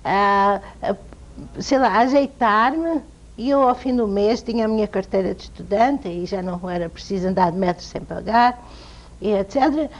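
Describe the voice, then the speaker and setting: A woman with a European Portuguese accent, an author telling lively, self-amused stories as a guest in an interview. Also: mid-range voice, moderate pace. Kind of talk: anecdotal interview storytelling. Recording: dull lo-fi TV sound.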